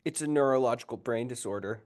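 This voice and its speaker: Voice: medium pitch, quick pace, a little mellow, lightly acted. Stammering, bratty ten-year-old boy played by an adult. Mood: cheeky, earnest. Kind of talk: script table read.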